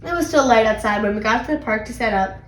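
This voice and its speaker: A woman reading a children's story aloud in an animated, expressive way. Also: on the high side, fast, echoey room. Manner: animated, acts out character dialogue. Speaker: read-aloud channel host.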